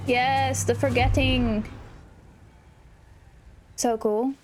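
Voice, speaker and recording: Female let's play host: higher-pitched, unhurried, casual and chatty, reacting aloud. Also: steady tone, over music, poor audio. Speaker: gaming video host.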